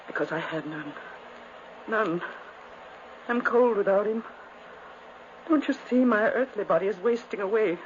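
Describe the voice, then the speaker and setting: An actress playing a dying, delirious twin sister, intense and pleading in 1950s radio drama style. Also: female voice, mid-range voice, measured pace, long pauses, lightly acted. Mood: bleak, haunted. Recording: muffled, hissy 1950s radio recording.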